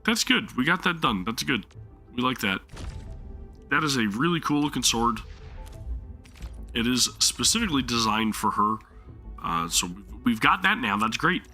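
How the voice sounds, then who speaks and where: A man's voice: medium pitch, big pitch swings, moderate pace, pauses often, bright, forceful tone, soft background music. A man streaming a game live, chatting casually while his sore throat makes him lose his voice, rambling and apologizing often. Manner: apologetic, rambling, many restarts.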